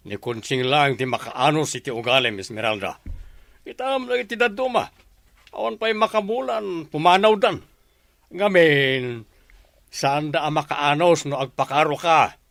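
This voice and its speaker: An exasperated husband voiced by a man chides in an Ilocano comedy radio play. His voice is creaky and slightly high, recorded close and dry. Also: expressive, a little slow, fairly bright tone, dry recording, full character voice. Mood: chiding. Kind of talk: comedy radio drama acting.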